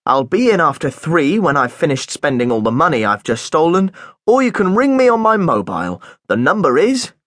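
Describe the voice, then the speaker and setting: A man voicing a flustered schoolboy who defends himself earnestly and rambles on, with a husky voice. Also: fairly high pitch, sing-song, moderate pace, fairly bright tone, no room sound, clean studio sound. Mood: defensive. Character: flustered schoolboy protesting his innocence.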